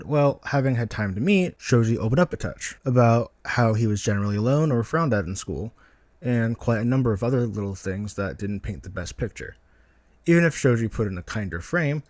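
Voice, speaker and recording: A man reading a story aloud as scripted, expressive narration. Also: medium pitch, dry recording. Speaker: podfic narrator, guest reader.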